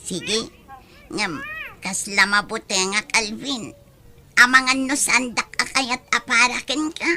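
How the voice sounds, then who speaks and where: A woman's voice: a little high, fairly even intonation, takes it slowly, bright, forceful tone, heavily acted. A woman with an Ilocano accent playing an anxious, loving young girlfriend in an audio drama. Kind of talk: romantic audio drama acting.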